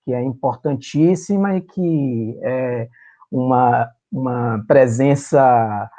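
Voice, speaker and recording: A man from Salvador, a performer and writer, talking with emotion as a guest in an interview and often pausing with fillers to find his words. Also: mid-range voice, dark tone. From Salvador, Brazil. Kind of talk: remote interview, reminiscing.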